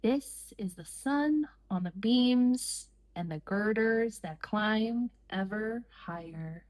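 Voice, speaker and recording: A woman reading a picture book aloud for young children in deliberate, measured phrases with pauses between short lines. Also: on the high side, a little mellow, rough recording. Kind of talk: slow read-aloud storytime for young children.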